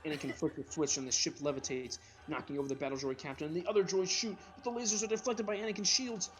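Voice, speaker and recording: A man's voice: on the high side, fairly even intonation, fast talker, faint music behind, poor audio. A man reading screenplay directions aloud as the narrator of a group script reading.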